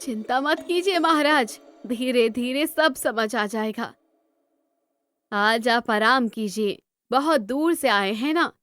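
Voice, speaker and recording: Woman acting a high-pitched, proud, bitter queen. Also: lively intonation, takes it slowly, a bit bright, soft background music. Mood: icy sarcasm. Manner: regal, sarcastic, dramatic. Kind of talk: cartoon storybook dialogue.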